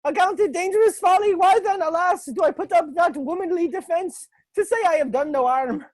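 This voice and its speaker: A man's voice: very high-pitched, normal speed, dry recording. A man playing an anxious young actor who takes women's parts in an audio play, nervous and theatrical. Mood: theatrical, pleading. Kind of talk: stage-style audio drama acting. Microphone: video call recording.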